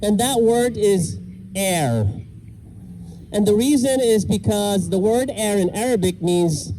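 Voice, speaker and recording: A middle-aged man from Iraq performs stand-up outdoors with a fairly high voice. He delivers self-deprecating jokes with deadpan timing, and clear park noise and passing cars run under the set. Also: slow pace, rough recording. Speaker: stand-up comedian. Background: park ambience and vehicles.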